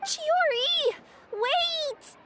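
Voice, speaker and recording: A woman's voice: extremely high pitch, extremely slow, very noisy, full character voice. A woman voicing a sweet, earnest young courier in a video game, polite and a little fretful. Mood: alarmed. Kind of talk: English video game voice acting. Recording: music behind the dialogue.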